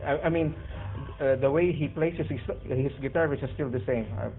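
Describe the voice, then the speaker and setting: A man's voice: mid-range voice, moderate pace, gravelly. A man with a Filipino accent chatting casually over two-way radio, hesitant and searching for his words. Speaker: amateur radio operator in an on-air conversation. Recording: phone-like radio audio, faint music behind.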